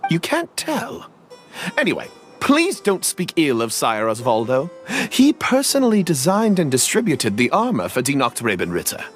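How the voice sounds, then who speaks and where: An actor voicing a polite young knight trapped as a statue, formal and courteous, pleading for help. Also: male voice, fairly high pitch, huge pitch swings, normal speed. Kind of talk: game voice acting. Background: music.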